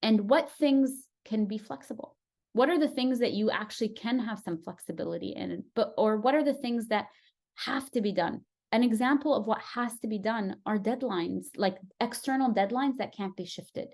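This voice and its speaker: A woman's voice: a little high, normal speed, vocal fry, darkish tone. A woman psychologist giving earnest, reassuring advice in an interview, often checking in with "right?". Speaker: clinical psychologist guest.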